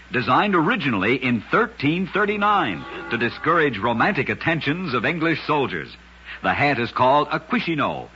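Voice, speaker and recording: A man narrating scripted oddities as an old-time radio host, with a dramatic, emphatic delivery and deliberate pauses. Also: mid-range voice, bright, forceful tone, a little hiss or hum. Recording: dull, lo-fi old radio recording.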